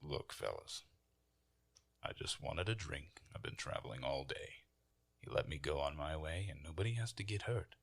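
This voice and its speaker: Man playing a very deep, gravelly goliath warrior. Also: expressive, normal speed, fairly bright tone, heavily acted. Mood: calm menace. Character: towering seven-foot male goliath warrior, bearded, calmly menacing.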